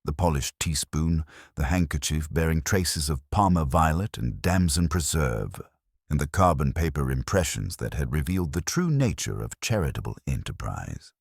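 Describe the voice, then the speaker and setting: A man reading scripted mystery narration in a formal, literary style, with measured and precise delivery. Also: extremely low pitch, very animated, creaky, slightly rough, no room sound, clean studio sound.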